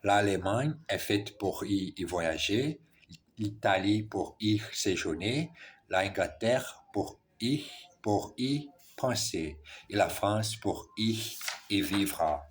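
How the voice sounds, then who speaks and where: Slow, husky man. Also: lowish voice, animated. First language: Portuguese. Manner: halting, careful, frequent self-corrections. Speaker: French learner. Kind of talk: reading French practice aloud.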